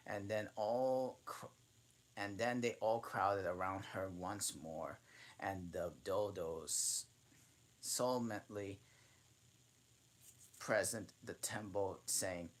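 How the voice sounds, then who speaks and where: A man reading a children's classic aloud in a halting way, often restarting and correcting himself mid-sentence. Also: sits low. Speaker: channel host reading aloud.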